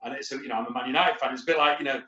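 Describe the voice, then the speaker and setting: A man's voice: medium pitch, fairly even intonation, rapid-fire, sharp tone, reverberant, highs cut off. A man, a recruitment company leader, talking on a webinar panel in a rambling, anecdotal way with lots of fillers. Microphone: video call.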